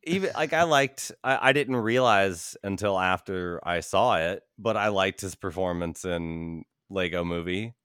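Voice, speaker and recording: A man's voice: deep voice, a little slow, few pauses, fairly bright tone, clean tone, dry recording, clean studio sound. A man in casual podcast chat as a host, loose and profane, often acting out imaginary quotes. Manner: peppers talk with acted-out imaginary quotes.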